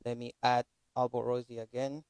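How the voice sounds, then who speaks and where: A man's voice: medium pitch, level tone, normal speed, a little mellow, clear, resonant tone, no room sound. A man from Rica, hosting a casual live video chat in English and often repeating words as he searches for them. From Costa Rica. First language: Spanish. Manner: rambling, repeats words while finding them. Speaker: live stream host.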